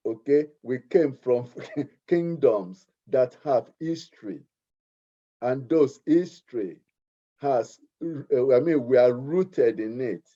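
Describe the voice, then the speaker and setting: A man's voice: medium pitch, dark tone. A middle-aged man with a Nigerian accent, speaking earnestly at a community meeting and often repeating his words. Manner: earnest, repeats himself, deliberate. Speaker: community speaker, art teacher. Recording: muffled lo-fi recording.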